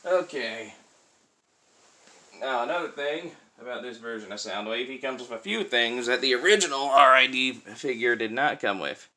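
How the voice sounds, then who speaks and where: A man's voice: mid-range voice, expressive, normal speed, bright, forceful tone. A man giving a casual hands-on demonstration as a toy reviewer, explaining things step by step.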